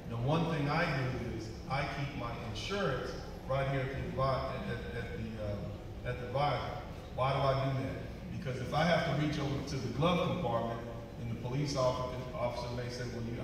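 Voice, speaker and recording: Guy on a stage panel giving folksy advice, really echoey, noisy recording from far off in the seats. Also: mid-range voice. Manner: emphatic, folksy, repeats himself. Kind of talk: panel talk with practical advice. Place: on stage in a large auditorium. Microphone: recorded from the audience seats, far from stage. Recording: distant, echoey theater hall with noise.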